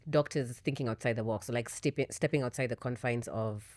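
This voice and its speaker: A woman with a notably deep voice hosting a health podcast in a chatty, encouraging way. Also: fairly quick, a little mellow, dry recording. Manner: chatty, encouraging, many backchannels. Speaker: podcast host. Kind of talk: casual interview conversation.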